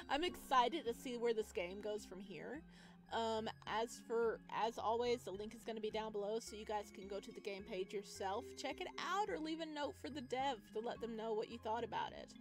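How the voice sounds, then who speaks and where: A woman doing casual, excitable let's play commentary and reading a visual novel aloud. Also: on the high side, normal speed, faint music behind. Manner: expressive, excitable. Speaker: gaming video creator.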